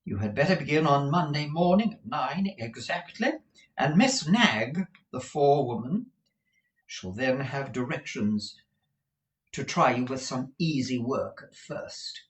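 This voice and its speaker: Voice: medium pitch, expressive, measured pace, pitched above the performer's own voice, lightly acted. A reader voicing an imperious, proper woman who runs a business, formal and emphatic in manner, in a storybook. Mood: businesslike. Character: imperious businesswoman, brisk, proper shop proprietress wife.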